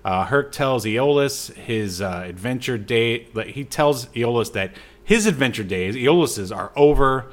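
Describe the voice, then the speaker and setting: A man with an average-pitched voice co-hosts a film podcast. He recaps and comments casually, feeling his way through sentences and drifting into little asides. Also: expressive, unhurried, few pauses. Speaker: podcast co-host.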